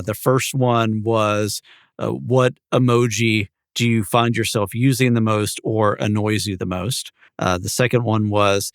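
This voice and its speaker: Man, lowish pitch, podcast co-host, casual chat, measured wordy explainer, dry sound. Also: gravelly, no room sound.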